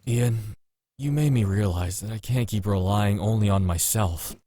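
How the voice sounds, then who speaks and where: An actor playing a gruff, stubborn man in a visual novel, blunt and cocky but caring. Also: lowish voice, normal speed, warm tone, lightly acted. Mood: earnest, encouraging.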